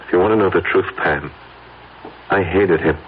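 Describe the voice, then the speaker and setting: An actor playing a secretive, uneasy husband in an American radio drama, speaking in clipped, hesitant phrases. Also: male voice, low-pitched, normal speed, lightly acted. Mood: grim, cold. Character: Gordon, uneasy secretive husband hiding a dark deal. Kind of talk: old-time American radio drama acting. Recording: dull radio drama recording, faint noise.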